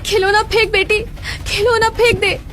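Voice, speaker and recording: A woman's voice: very high voice, flat delivery, normal speed, a bit bright, pitched above the performer's own voice. A woman voicing an angry, alarmed village mother in a cartoon story, agitated and emphatic. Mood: panicked. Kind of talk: Hindi cartoon moral-story voice acting. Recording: background music throughout.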